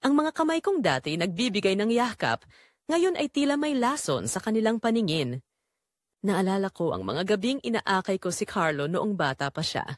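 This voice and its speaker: A woman's voice: medium pitch, sing-song, normal speed, no room sound, clean studio sound, lightly acted. Woman acting anguished mother with dramatic swings. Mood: anguished regret. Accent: Filipino. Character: guilt-ridden Filipina mother and wife confessing her betrayal. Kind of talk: dramatic first-person audio drama narration.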